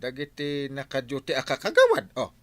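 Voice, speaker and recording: A man's voice: mid-range voice, huge pitch swings, a little fast, fairly bright tone, no echo. A man playing a dutiful, curious village captain with an Ilocano accent and a questioning manner in an audio drama.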